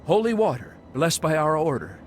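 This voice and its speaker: An actor playing a serene, zealous male cult monk in video game dialogue, speaking in a soft, pious, sermonizing way. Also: a little high, expressive, normal speed, slight background noise, natural voice. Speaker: game character.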